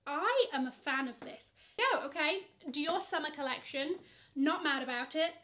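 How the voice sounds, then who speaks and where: Young girl doing a shopping vlog, sassy and dramatic, high voice. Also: normal speed, bright, forceful tone. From England. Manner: sassy, gushing, calls bags "she". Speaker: fashion vlogger. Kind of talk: chatty online shopping commentary. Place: bedroom with shelves of shoes.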